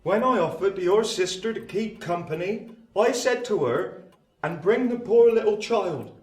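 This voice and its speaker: A gentle, simple village blacksmith in a radio play. He speaks slowly in halting, rustic phrases, with a big swooping melody. The sound is roomy and slightly off-mic. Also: high-pitched, animated, recorded from a distance. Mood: fond, reminiscing. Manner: halting, rustic uneducated speech. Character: gentle, slow-witted, kindly uneducated country blacksmith.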